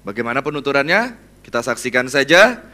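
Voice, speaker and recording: A man's voice: medium pitch, very animated, brisk, pushed and bright. A man hosting an event, delivering a scripted introduction for the next speaker.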